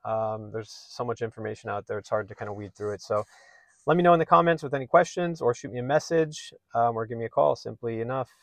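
A man's voice: mid-range voice, fast talker, creaky, no echo. Casual man talking to camera in a car. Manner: friendly, relaxed, many "um"s and "uh"s. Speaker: real estate agent. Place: inside a parked car. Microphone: phone or camera held at arm's length.

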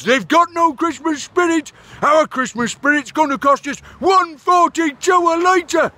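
Male actor as a shrill, indignant comedy ranter, dry close sound. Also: bright, forceful tone, no echo, full character voice. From Britain. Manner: indignant, repetitive, declaiming to the camera. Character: blustering outraged middle-aged male petrol-price ranter. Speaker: comic character vlogger. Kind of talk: character comedy rant.

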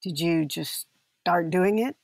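An uneasy woman married into an odd family in a radio comedy. Her voice is lowish and recorded dry and close. She thinks aloud candidly, hesitating and rambling. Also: slow pace, vocal fry, a little mellow, no room sound, exaggerated voice. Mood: probing, reserved. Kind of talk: naturalistic comedy audio drama acting.

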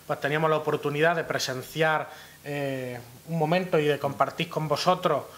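A young man with an Andalusian Spanish accent giving a formal press conference speech, deliberately setting out his points. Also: a little high, steady tone, a bit bright. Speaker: regional youth official. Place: indoor press room at town hall. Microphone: gooseneck table microphone.

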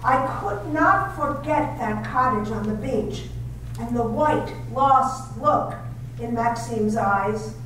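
Actress as a high-voiced anxious young wife, slow and hesitant, echoey distant room. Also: female voice, a bit bright. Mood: narrating. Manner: hesitant, stammering, pleading. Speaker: amateur radio drama actor and narrator. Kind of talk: 1930s radio drama. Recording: echoey, distant, faint hiss.

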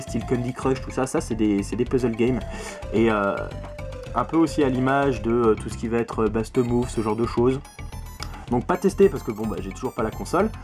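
A man's voice: medium pitch, darkish tone. A man in his twenties hosting a casual vlog about his game collection, talking to camera in a small room and often repeating words as he goes. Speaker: video game collector and channel host. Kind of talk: casual vlog chat, showing purchases to camera. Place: small bedroom full of games, indoors.

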